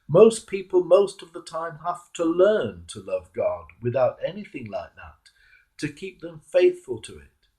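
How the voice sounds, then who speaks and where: A British man, a clergyman, reading a devotional reflection in a measured, emphatic way with a gravelly, husky voice. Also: medium pitch, dark tone, low-quality recording. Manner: measured, emphatic, repeats key phrases for weight. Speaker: Anglican clergyman. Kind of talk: devotional sermon reflection, read aloud.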